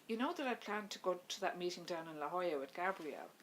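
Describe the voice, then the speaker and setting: A woman's voice: fairly low pitch, animated, quick pace, slight background noise, lightly acted. A woman voicing an exasperated, self-important husband in an audiobook story, with a clipped, insistent manner. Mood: hesitant.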